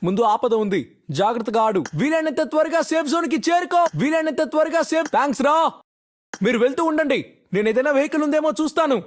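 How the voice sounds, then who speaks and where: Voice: sits high, expressive, fast. A performer voicing a game teammate in short scripted callouts and alerts. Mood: brisk, alert. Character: high-pitched game voice-pack teammate calling out alerts.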